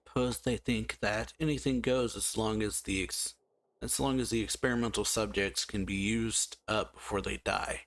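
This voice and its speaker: A man hosting a let's-play, casually commenting and slowly reading game text aloud, with frequent musing hums. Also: fairly low pitch, fairly even intonation, a bit bright, no echo. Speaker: gameplay channel host.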